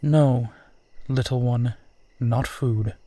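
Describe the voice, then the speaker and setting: A man playing a suave, centuries-old vampire in a roleplay audio drama, speaking formally, with old-fashioned courtesy and gentle teasing. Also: medium pitch, steady tone, drawn-out words. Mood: smooth, amused. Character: suave, courtly centuries-old male vampire who looks twenty-eight.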